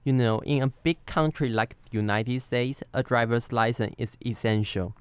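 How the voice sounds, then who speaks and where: Clear-voiced Taiwanese man telling a story. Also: mid-range voice, fairly even intonation, normal speed, no room sound. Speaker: podcast co-host. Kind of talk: semi-scripted personal storytelling.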